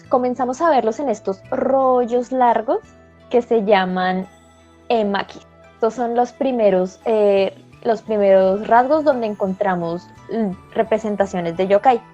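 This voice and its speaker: A woman with a soft, mellow tone talks through her explanation with an unhurried, careful manner and the odd filler. The audio is slightly dull and lo-fi, with faint music underneath. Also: a little high. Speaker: co-host. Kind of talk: explanatory talk show chat.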